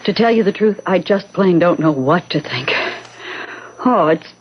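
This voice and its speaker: Worried, animated wife, slightly husky. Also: female voice, on the low side, moderate pace. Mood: doubtful. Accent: American. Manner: hesitant, questioning. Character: worried, trusting young wife drawn into a plot. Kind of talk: 1970s radio drama acting. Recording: dull, lo-fi old radio broadcast.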